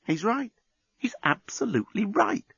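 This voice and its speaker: A man voicing a flustered young woman lawyer with breathy, gasping exclamations. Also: high-pitched, sing-song, normal speed, fairly bright tone, no room sound, muffled recording, pitched above the performer's own voice, exaggerated voice.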